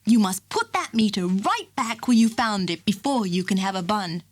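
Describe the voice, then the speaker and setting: A woman's voice: mid-range voice, lively intonation, normal speed, natural voice. A woman voicing a man taking an elephant to the circus, who calls out and then apologises politely. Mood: apologetic.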